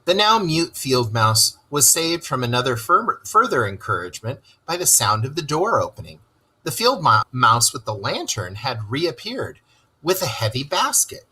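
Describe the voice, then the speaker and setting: A man reads a children's story aloud as its narrator. His voice is bright and forceful with a husky edge, and he moves expressively through a lively range of pitch. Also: normal speed, low-quality recording.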